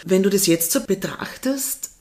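A woman interviewing a guest casually, attentive and quick to affirm. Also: medium pitch, animated, warm tone. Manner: attentive, many affirming murmurs.

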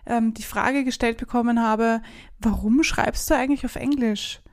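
A woman's voice: fairly high pitch, fairly even intonation, normal speed. A woman chatting casually as an interviewer who is also a singer-songwriter. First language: German. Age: adult, not young teens. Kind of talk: casual conversation.

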